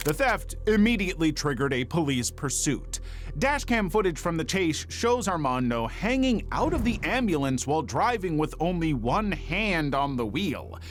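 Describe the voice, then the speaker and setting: A man reading scripted true-crime narration in a measured, dramatic storytelling manner. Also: a little high, a little hiss or hum.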